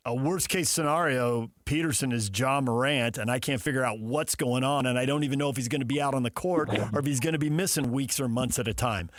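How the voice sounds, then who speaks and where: A man's voice: mid-range voice, a little fast, hardly any pauses, no echo. An American man hosting sports talk radio, debating off the cuff and building his points through repetition.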